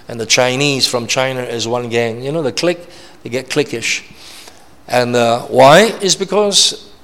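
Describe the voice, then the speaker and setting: Preacher in a reverberant hall. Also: male voice, mid-range voice. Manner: emphatic, repetitive, checks in with "all right?". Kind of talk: sermon. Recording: long reverb of a large hall.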